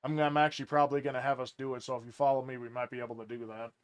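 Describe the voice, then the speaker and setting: A man's voice: medium pitch, flat delivery, very fast, smooth, no echo. Rambling man streamer on a headset. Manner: rambling, explains at length with many restarts. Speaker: game streamer. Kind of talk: casual gaming chat.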